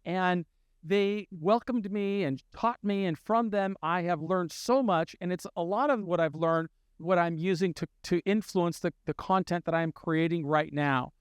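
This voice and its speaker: A man hosting an interview, asking measured, gracious questions of his guest. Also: high voice, clean tone, dry recording, studio quality. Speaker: interview host. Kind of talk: conversational interview.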